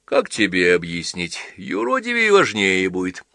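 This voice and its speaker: A man voicing an old village priest and holy fool, folksy, gruff and affectionate, in an audiobook story. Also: low-pitched, huge pitch swings, normal speed, sharp tone, no echo, studio quality, exaggerated voice. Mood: kindly.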